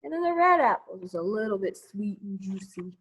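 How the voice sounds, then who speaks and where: A woman leading a children's storytime, reading a picture book aloud with chatty asides in an expressive, singsong manner aimed at young kids. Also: mid-range voice, brisk.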